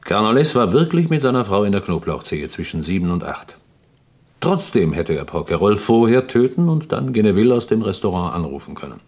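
A man's voice: on the low side, big pitch swings, a little fast, a little mellow. An actor playing an eager police inspector in a German radio crime play, arguing insistently point by point to his chief.

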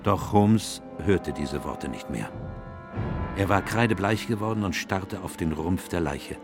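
An actor playing a grave, observant gentleman who narrates a detective radio play in a measured, solemn way. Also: sits low, lively intonation, over music. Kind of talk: scripted dramatic narration.

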